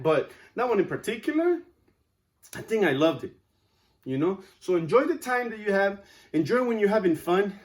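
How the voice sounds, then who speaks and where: A man in his thirties gives a speech to his class, his Spanish accent clear since English is not his first language. His voice sits somewhat high for a man, and he talks informally, with plenty of fillers and asides. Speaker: student speaker.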